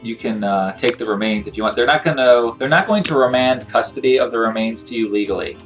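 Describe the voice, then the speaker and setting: Male game master, mid-range voice, conversational tabletop narration, quiet guitar bed. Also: mid-range voice, fast. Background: soft guitar music.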